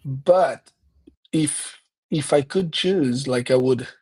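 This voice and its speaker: Brazilian-accented pastor, husky and earnest. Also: male voice, medium pitch, slow pace, darkish tone. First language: Portuguese. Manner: earnest, reflective, many restarts. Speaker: pastor and podcast guest. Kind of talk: conversational interview.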